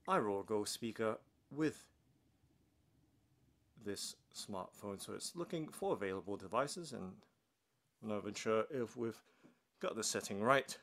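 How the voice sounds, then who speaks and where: A man's voice: fairly low pitch, a bit bright. A man giving a casual, unscripted product demonstration as a reviewer, talking through each step as he does it. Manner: narrates own actions step by step. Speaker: tech unboxing reviewer. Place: indoors at a wooden table.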